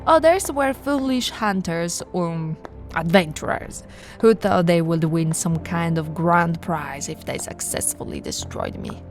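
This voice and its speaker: A woman voicing a possessive, seductive, haughty vampire noblewoman in an intimate roleplay, with theatrical drawn-out words and breathy whispers. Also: lowish voice, sing-song, soft background music. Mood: haughty, amused. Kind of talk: intimate ASMR audio roleplay.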